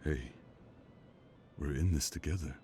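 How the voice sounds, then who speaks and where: A devoted, steady partner in an intimate audio drama. The man's voice is extremely deep and dark, calm and reassuring, with faint ambient noise behind it. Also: unhurried. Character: steady, devoted husband-like partner and secret father.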